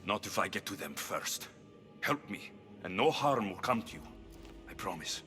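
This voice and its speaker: A defiant warrior man on a hunt, in a game cutscene. His delivery is blunt and intense, with very lively intonation, and music plays clearly underneath. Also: low voice, normal speed. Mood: urgent, resolute. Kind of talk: dramatic video game cutscene acting.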